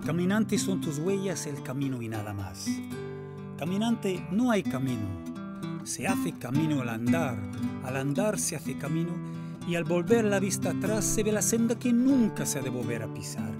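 A woman's voice: sits low, big pitch swings, a little fast. A woman reciting poetry. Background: plucked guitar music.

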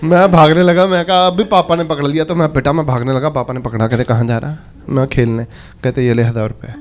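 A man in his thirties telling animated stand-up stories on a club stage, holding a handheld mic and acting out quotes in different voices. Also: fairly high pitch, quick pace. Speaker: stand-up comedian. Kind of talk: stand-up comedy storytelling. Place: comedy club stage before a live audience. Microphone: handheld stage microphone. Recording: live club audience laughter.

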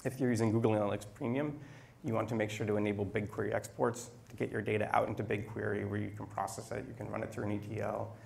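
Middle-aged male presenter, low and very gravelly, handheld mic in a meetup room, measured with ums. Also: level tone, darkish tone, a little hiss or hum. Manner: measured, frequent ums and restarts. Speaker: conference speaker. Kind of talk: technical presentation. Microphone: handheld microphone.

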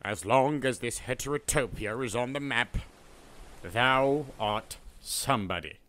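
A man's voice: medium pitch, unhurried, fairly bright tone, close to an everyday voice. A man voicing a pompous, boastful medieval English knight and sea captain for a cartoon, with grandiose, archaic, declamatory delivery. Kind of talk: theatrical cartoon character acting.

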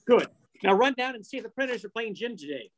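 A woman's voice: on the high side, animated, quick pace. An older woman playing a wisecracking, scheming male reporter in a radio play, breezy and wry, over a video call. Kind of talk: old-time radio play acting.

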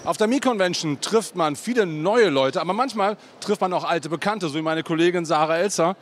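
A man's voice: high-pitched, a little fast, faint music behind. A middle-aged man reporting from a convention hall, interviewing guests with a handheld mic and chatting between questions with many fillers. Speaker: event reporter and host. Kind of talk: on-site event interviews and wrap-up to camera. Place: large indoor convention hall. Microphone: handheld microphone.

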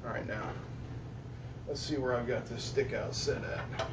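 A man's voice: medium pitch, steady tone, a little slow. A man in his forties hosting a welding demonstration in an indoor workshop, talking casually and joking at his own expense while he works. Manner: joking, self-deprecating, talks while working. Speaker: welding video host. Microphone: lapel mic clipped to shirt. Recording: distant with noticeable shop noise.

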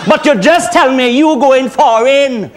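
A man's voice: very high-pitched, measured pace, noisy background. A middle-aged man with a Trinidadian accent, playing a nervous, earnest first-time traveller in a comedy sketch on a theatre stage. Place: theatre stage with live audience. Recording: stage recording with audience laughter.

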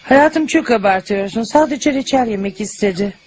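An actress playing a calm, caring mother in a dubbed cartoon. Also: on the high side, moderate pace, a little hiss or hum, natural voice. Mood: calm, firm.

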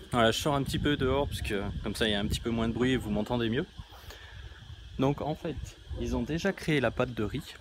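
A man in his thirties talks straight into a phone held at arm's length, stopping and restarting as he explains. His voice sits at an ordinary middle pitch. Clear background noise from the outdoor workshop runs under everything he says. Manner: chatty, hesitant, explains with fillers and restarts. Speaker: travel vlogger. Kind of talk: casual explanatory vlog narration. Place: small outdoor workshop yard in a village. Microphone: phone camera at arm's length. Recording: noisy outdoor phone recording.

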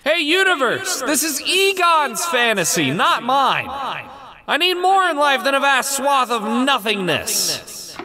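A man's voice: extremely high pitch, big pitch swings, takes it slowly, a crowd in the background, heavily acted. A man playing a fearless, sarcastic ghost-hunting scientist in a comic dub, glib and casual with an American accent. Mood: exasperated, sarcastic. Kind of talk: comic dub character acting.

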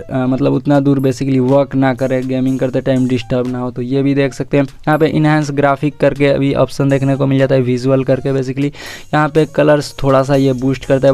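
Indian man, tech video host, casual tutorial narration, smooth clear voice, soft mellow tone, English words mixed in. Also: mid-range voice. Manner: repeatedly addresses viewers as guys, mixes in English words.

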